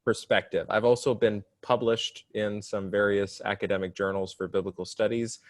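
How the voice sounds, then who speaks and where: Young guy on a podcast, really deep voice, dry close sound, chatty and nerdy about his studies. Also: fairly even intonation, no room sound. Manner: quick, articulate explaining with self-deprecating humor. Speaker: podcast guest, divinity student. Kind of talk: casual interview chat.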